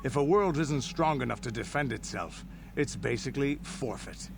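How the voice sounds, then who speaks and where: A gravelly, battle-proud veteran warrior. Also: male voice, mid-range voice. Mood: boastful. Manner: boastful, deliberate storytelling. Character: proud gruff veteran alien warrior man recounting war stories. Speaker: game character. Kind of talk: dramatic scripted video game dialogue. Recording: game audio under steady noise.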